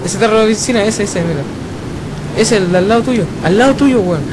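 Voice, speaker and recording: Chilean young man, high-pitched gamer voice. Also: fairly quick, noisy background. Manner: directive, slangy, repeats instructions. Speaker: gamer leading a co-op zombie shooter session. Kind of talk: casual game commentary with teammates. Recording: loud game audio over the voice. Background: video game sound and music.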